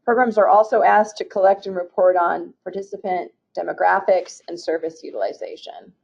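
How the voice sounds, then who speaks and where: A woman from a state agency presenting slides in a webinar, reading through program goals in a steady, formal way with occasional restarts. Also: fairly low pitch, brisk, creaky. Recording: muffled, dull webinar audio.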